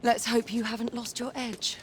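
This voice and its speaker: A woman's voice: a little high, flat delivery, normal speed, a little hiss or hum, natural voice. An actress playing a tough, teasing female knight in a video game, with a poised, assertive manner. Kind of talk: video game cinematic acting.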